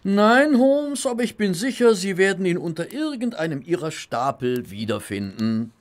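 An actor playing a genteel, loyal detective's companion, narrating a radio play in a measured, dryly humorous way. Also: male voice, high-pitched, sing-song. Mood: helpful. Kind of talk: scripted narration in German audio drama.

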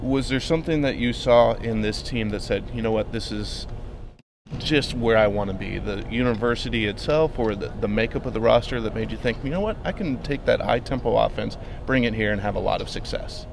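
A man's voice: on the low side, a little fast, vocal fry, background noise. A man in his thirties hosting a sports interview in the manner of a radio broadcaster. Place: large empty indoor arena.

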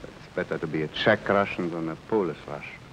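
A man's voice: sits low, a little fast, a bit bright, rough recording. An actor playing a sly, cheerful middle-aged male refugee con man, wheedling and teasing in a 1950s TV drama. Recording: old TV film sound with faint rain hiss.

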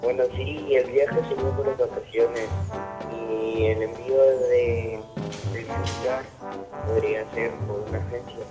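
A man's voice: medium pitch, fairly even intonation, measured pace, music in the background. A man with a Peruvian accent chatting casually on a phone call. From Peru. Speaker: prank call victim, friend of the host. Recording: dull lo-fi phone line.

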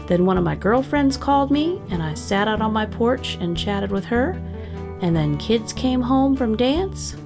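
Chatty upbeat woman with a gravelly edge. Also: a little high, animated, measured pace. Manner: chatty and upbeat, with frequent laughs. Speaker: family vlogger. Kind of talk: cozy voice-over narration of a cooking and cleaning vlog. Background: guitar background music.

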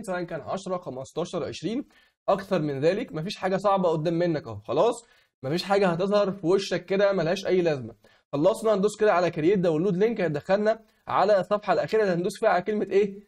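Fast-talking Egyptian man, hoarse. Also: sits high, darkish tone. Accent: Egyptian Arabic. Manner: fast explaining, keeps asking "okay?". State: hoarse from a cold. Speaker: online tutorial host. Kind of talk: screen-recorded tutorial.

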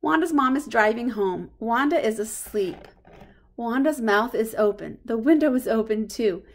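A woman reading a picture book aloud for young children in a simple, expressive way. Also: on the high side, normal speed. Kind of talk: children's storybook read-aloud.

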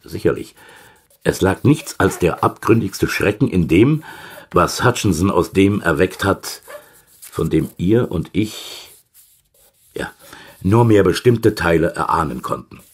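A man playing a sinister, centuries-old occultist in an audio drama, speaking in formal, archaic, deliberate diction. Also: low-pitched, lively intonation, husky, heavily acted. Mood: sly, conspiratorial. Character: sinister centuries-old male occultist dictating a secret letter. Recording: music behind the voice.

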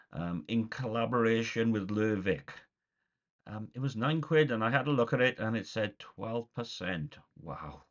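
Man in his 40s, nasal with a cold, lowish voice, rambling vlog chat, dull webcam sound. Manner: chatty, rambling, filler-heavy. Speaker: vlogger. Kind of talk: casual video diary. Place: small dim room indoors. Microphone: webcam at desk.

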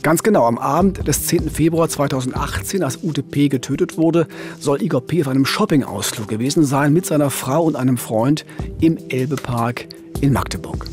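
A man in his fifties with a slightly husky voice co-hosts true-crime storytelling in a conversational way, close on a studio microphone. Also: mid-range voice, animated, moderate pace, darkish tone, soft background music. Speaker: true-crime podcast co-host. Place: dark radio studio. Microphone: studio microphone on boom arm, headset monitoring.

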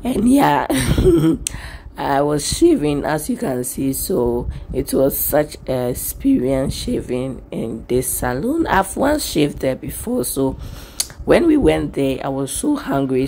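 A young woman talks to a phone held at arm's length, her voice low, hoarse and gravelly. She chats casually and laughs often, with faint noise around her. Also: very animated, takes it slowly, dark tone. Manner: chatty, laughs often, acts out friends' lines. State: hoarse, lost voice. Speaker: vlogger. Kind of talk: casual vlog chat. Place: hair salon, indoors.

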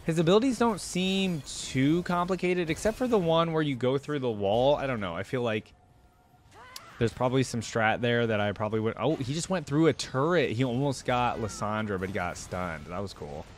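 A man's voice: medium pitch, lively intonation, moderate pace. A young man giving casual, informal gameplay reaction commentary, musing aloud into a close microphone. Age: young adult. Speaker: reaction video host. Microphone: close desktop microphone with headset. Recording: clear background noise.

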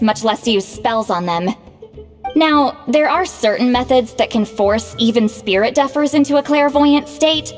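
A proud young-sounding shaman from an anime game, who explains things briskly and bossily. Her voice is fairly high and very lively, with exasperated turns. It rings in a long reverb. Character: proud, bossy, youthful-sounding female shaman called Granny. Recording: long reverb, music behind.

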